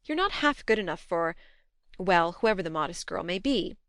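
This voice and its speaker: Woman playing a brisk, tender-hearted young woman, clear-voiced. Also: on the low side, lively intonation, a bit bright, dry recording, clean studio sound. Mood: admonishing. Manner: brisk, admonishing, affectionate.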